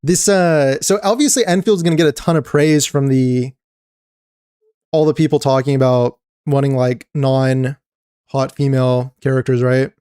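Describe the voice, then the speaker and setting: A man streaming a live reaction to a game trailer, excited and chatty with many restarts. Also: mid-range voice, a little slow, smooth, no room sound, faint music behind.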